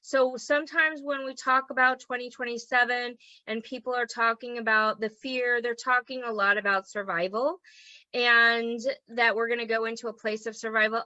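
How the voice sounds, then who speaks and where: A woman leads an online class in a chatty, encouraging way and keeps checking in with her listeners. Her voice is bright and a little high, though strained from losing it. The recording is dry and slightly muffled. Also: no room sound. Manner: chatty and encouraging, frequent "okay?" check-ins. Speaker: human design teacher. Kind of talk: casual teaching talk to an online group.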